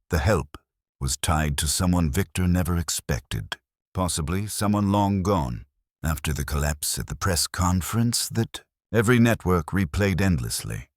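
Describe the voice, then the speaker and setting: A man reading a scripted dramatic story as narrator, in a measured, solemn manner. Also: rumbling low, no room sound, studio quality.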